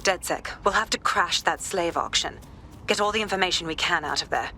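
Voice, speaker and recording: A woman's voice: lowish voice, a little fast, a little hiss or hum. A woman playing a stern female investigator in a video game, blunt and urgent. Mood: urgent, resolved. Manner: blunt, forceful.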